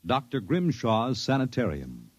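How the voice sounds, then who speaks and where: A man's voice: mid-range voice, very animated, unhurried. A man with an American accent announcing in a grave, dramatic 1950s radio style. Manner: grave, portentous. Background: dramatic music.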